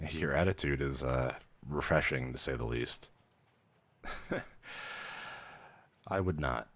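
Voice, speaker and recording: Guy acting a friendly tired vampire mentor, super low voice, swoops around a lot, dry office-chat roleplay, bit hesitant. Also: heavily acted. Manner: hesitant, conversational, dryly professional turning casual. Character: weary, friendly young vampire mentor, male onboarding clerk. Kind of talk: roleplay audio, conversational acting.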